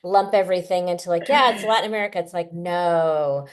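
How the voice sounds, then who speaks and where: A woman's voice: lowish voice, fairly quick. A woman hosting a casual interview, asking chatty, emphatic questions. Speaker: interview host.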